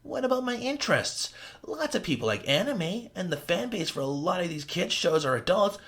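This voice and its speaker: Whiny male kidnapper, high and reedy, sulky and tearful, read by a man. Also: very animated, moderate pace, fairly bright tone, heavily acted. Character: whiny greasy male captor, self-pitying.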